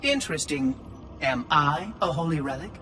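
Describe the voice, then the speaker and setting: A man's voice: fairly high pitch, very animated, moderate pace, background noise. An actor voicing a curious, condescending ancient male machine caretaker in video game dialogue. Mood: inquisitive. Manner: prying, quietly condescending.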